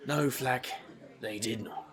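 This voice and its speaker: An actor playing a wry, reckless male paranormal investigator who tells his own tale in an audio drama, with a gravelly, sardonic delivery. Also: medium pitch, lively intonation, takes it slowly, a bit bright. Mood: embarrassed. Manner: wisecracking, casual, sardonic. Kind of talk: audio drama acting with noir-like first-person narration.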